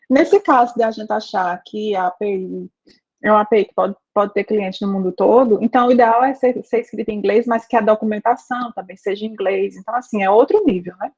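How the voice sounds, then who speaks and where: A woman's voice: medium pitch, darkish tone. A Brazilian woman teaching a programming class, talking things through with students and checking in with "né?" and "tá?". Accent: Brazilian Portuguese. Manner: conversational, frequent "né?" and "tá?" checks. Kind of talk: live online class, asking students questions. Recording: slightly dull lo-fi audio.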